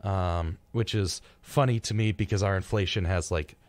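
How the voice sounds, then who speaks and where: Young guy hosting radio, deep voice, dry studio sound, casual and a bit wry. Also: moderate pace, darkish tone, no room sound. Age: young adult. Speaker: radio show host. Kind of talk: casual radio chat with read-out facts.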